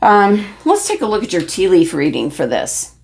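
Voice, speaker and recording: Hoarse woman tarot reader, lowish voice, rambling casual delivery with lots of "um". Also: huge pitch swings, normal speed. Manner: rambling, many fillers and restarts. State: losing her voice, throat clearing. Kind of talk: casual monthly tarot reading.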